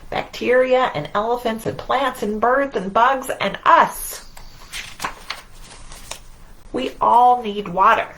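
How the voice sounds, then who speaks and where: A woman leads a storytime for young children at an unhurried pace. She reads aloud in short, singsong phrases and often turns to her listeners with simple questions. There is clear background noise in the recording. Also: on the high side, fairly bright tone, poor audio. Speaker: children's library storytime host.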